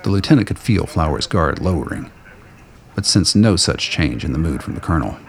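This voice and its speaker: A man's voice: rumbling low, animated, fairly quick, vocal fry. A man delivering scripted, dramatic narration for an audio play. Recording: noise, ambient sound effects behind.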